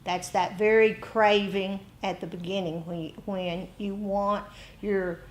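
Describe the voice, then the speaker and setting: An American woman speaks at an unhurried, slow pace with a mid-range pitch. She teaches meditation informally to a group, talking conversationally in short phrases with frequent pauses. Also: steady tone. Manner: conversational, pausing often between short phrases. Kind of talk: informal dharma talk to a group.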